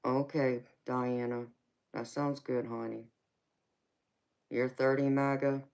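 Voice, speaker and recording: A woman's voice: a bass voice, level tone, slow, vocal fry, a little mellow, clear, resonant tone. A woman in her forties hosting a live stream, chatting casually with viewers and calling them baby, honey and sweetheart. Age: 40s. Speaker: tarot reader and livestream host.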